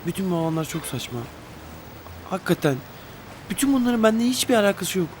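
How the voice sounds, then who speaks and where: A man's voice: sits high, lively intonation, fast, a little hiss or hum. A desperate young rich man. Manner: pleading, emotional outbursts. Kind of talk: TV drama acting. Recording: TV drama with music score.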